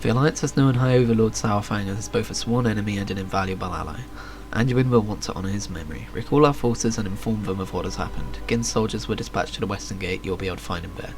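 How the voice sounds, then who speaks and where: A man's voice: lowish voice, fast, few pauses. Casual male game streamer over music. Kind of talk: casual live reaction, reading quest text.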